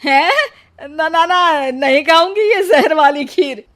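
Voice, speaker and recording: A woman's voice: high voice, slow, fairly bright tone. A woman voicing a nagging, quarrelsome mother-in-law in a cartoon, scolding and sarcastic. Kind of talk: cartoon voice acting.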